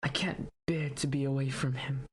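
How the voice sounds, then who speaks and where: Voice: medium pitch, steady tone, normal speed, a little hiss or hum, lightly acted. An actor playing an anxious young prince who misses his father, speaking in a hesitant, plaintive, earnest way in an audio drama. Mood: grieving. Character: anxious, grieving young prince, devoted son. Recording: music bed behind the voice.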